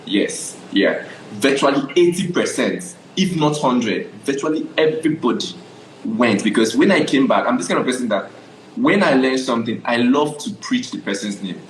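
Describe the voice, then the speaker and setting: A man's voice: medium pitch, animated, normal speed, echoey room, a little hiss or hum, poor audio. A young adult Nigerian man, a photographer guest, telling his story in a casual interview, often checking "do you understand?".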